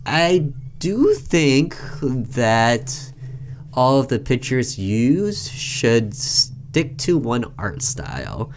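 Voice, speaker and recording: A man's voice: medium pitch, big pitch swings, very slow, slight background noise. A man hosting a gaming video, reading a visual novel aloud and commenting casually.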